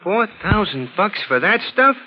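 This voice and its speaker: An actor playing a cocky, slow-witted police sergeant in a 1940s radio drama, talking in blunt, ungrammatical slang. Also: male voice, sits high, very animated. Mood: matter-of-fact. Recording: dull, noisy vintage radio recording.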